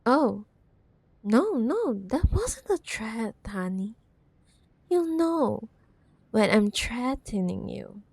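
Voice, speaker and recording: Female actor for obsessive corporate villainess ex in ASMR drama; teasing, mock-sweet menace, unhurried delivery, very dry sound. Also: fairly high pitch, big pitch swings, gravelly, a little mellow, breathy edge, no echo, heavily acted. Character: possessive, menacing, sweetly condescending corporate CEO ex-girlfriend. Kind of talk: roleplay ASMR audio drama.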